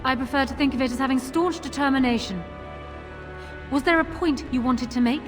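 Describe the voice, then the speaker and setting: A woman's voice: high voice, a little fast, close to an everyday voice. A woman playing a proud, defiant queen in a hall. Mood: cool defiance. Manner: haughty, determined. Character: proud, defiant, cold queen clinging to power. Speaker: actor in a fantasy role-playing game. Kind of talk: dramatic game cutscene dialogue. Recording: long hall reverb, music underneath.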